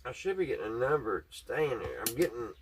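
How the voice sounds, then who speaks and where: A man's voice: mid-range voice, very animated, fairly quick, slight background noise. A man giving casual, hands-on repair commentary, folksy and musing aloud to himself as he works. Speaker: hobbyist repair vlogger.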